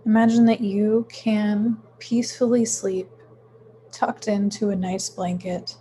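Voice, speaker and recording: A woman guides a meditation in a mellow, relaxed voice at a middling pitch. She speaks very slowly and soothingly, leaving frequent pauses. There is some faint noise in the recording. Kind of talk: guided meditation, gentle instruction.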